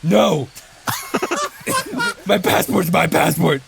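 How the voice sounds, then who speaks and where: A man voicing a petulant, evasive vigilante in an improvised comic audio drama, stammering through excuses in a gravelly voice. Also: on the high side, slow pace, exaggerated voice. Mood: whiny, petulant. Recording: clear background noise with chirping crickets.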